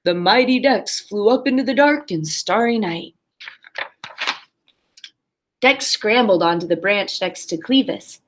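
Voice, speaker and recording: A woman's voice: mid-range voice, animated, measured pace, pauses often, background noise. A woman primary school teacher reading a story aloud expressively and talking simply and encouragingly to young children.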